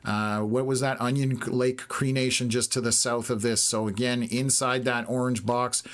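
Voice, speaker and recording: A hoarse Canadian man hosting a live weather stream, talking through radar scans in a steady, measured way with lots of filler "uh"s. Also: mid-range voice. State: hoarse, losing his voice. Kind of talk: live storm-tracking commentary.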